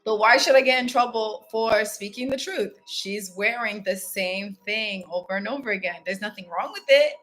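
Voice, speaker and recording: A woman's voice: medium pitch, normal speed, hardly any pauses, a bit bright, soft background music, poor audio. A woman from Ghana hosting a casual motivational self-help talk, candid and reflective, chatting directly to her viewers. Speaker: spiritual self-help host.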